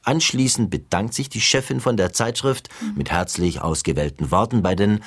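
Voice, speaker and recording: An actor playing an exasperated, earnest and wordy literary interviewer in a radio satire. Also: male voice, on the low side, moderate pace. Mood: pompous, indignant. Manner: pompous, wordy, indignant.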